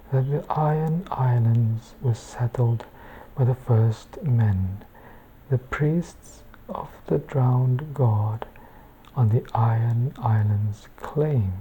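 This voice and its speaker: A woman reading a book aloud in a hushed, soft-spoken ASMR bedtime style, often slipping toward a whisper. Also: a bass voice, dull audio, slight background noise. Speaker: book reader, ASMR channel host.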